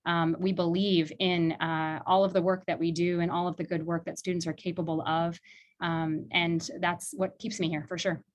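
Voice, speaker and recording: A woman's voice: fairly low pitch, flat delivery, normal speed. A woman from Illinois who directs a law clinic, speaking earnestly and reflectively on a video call, with many fillers. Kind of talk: virtual panel talk.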